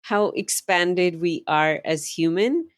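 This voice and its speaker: A woman's voice: on the low side, animated, slow, no echo. A woman in her thirties from Pakistan, a life coach and the guest on a podcast. She talks in an open, upbeat way and laughs easily. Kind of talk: interview conversation.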